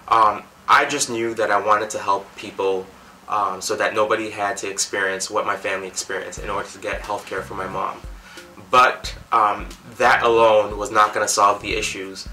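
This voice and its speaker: A man's voice: fairly low pitch, sharp tone, distant mic, low-quality recording. A young man from Cameroon, founder of a nonprofit, speaking earnestly to the camera with many "um" fillers.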